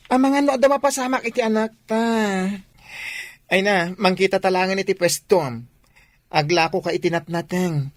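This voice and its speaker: A man's voice: sits high, fairly even intonation, normal speed, no echo, natural voice. Doting, fussing husband, acted by a man. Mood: fretful, fussing. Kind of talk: Ilocano radio drama acting.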